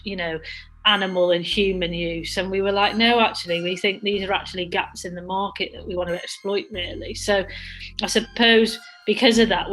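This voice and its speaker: Woman entrepreneur from Wales, interviewee, low steady voice, casual rambling answers over background music. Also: rough recording. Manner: conversational, frequent restarts and fillers like "sort of" and "really". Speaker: social enterprise co-founder. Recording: music playing under the voice.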